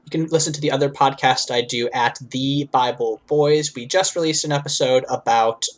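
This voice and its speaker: A woman's voice: a bass voice, normal speed. A woman co-hosting a podcast in casual banter, with a dry, mock-serious way of joking. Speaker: podcast co-host. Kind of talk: casual banter between friends.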